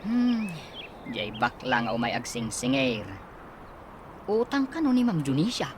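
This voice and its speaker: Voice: medium pitch, very animated, measured pace, background noise. A performer playing a stern male school official who questions a visitor, speaking in a radio drama. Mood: gossiping.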